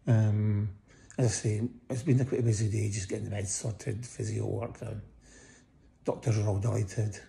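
A middle-aged man talks from a hospital bed, his voice croaky and gravelly. The tone is low and very dark, and the phone sits close to his face. He speaks tiredly, with many pauses, as he gives a casual update. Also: little pitch movement. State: croaky voice after breathing tubes. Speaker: vlogger giving a hospital update. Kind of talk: casual personal video update. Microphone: phone held close above face.

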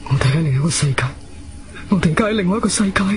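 Frightened, trapped young Cantonese man, high-pitched. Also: expressive, a little hiss or hum. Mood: frantic. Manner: halting, repeats himself in distress. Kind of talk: Cantonese radio drama acting.

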